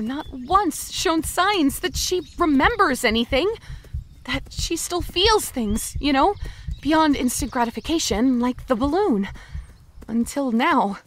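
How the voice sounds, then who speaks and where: A woman playing the chatty, anxious inner voice of an undead young woman, confiding in the listener in a rambling audio drama monologue. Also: high voice.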